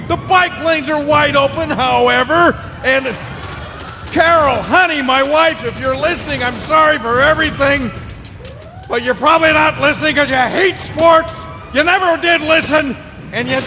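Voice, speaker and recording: An actor playing a frantic male sports radio host broadcasting through a catastrophe in a live radio drama. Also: very high-pitched. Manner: frantic, shouting. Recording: dull, clearly noisy broadcast with vehicle ambience.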